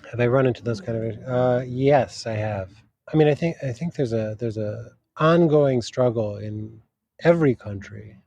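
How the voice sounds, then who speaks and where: A man's voice: mid-range voice, creaky, mellow, no echo, lo-fi sound. A man, a translator, answering interview questions thoughtfully, often restarting his sentences as he searches for words. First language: English. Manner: thoughtful, halting, many restarts. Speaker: literary translator, guest.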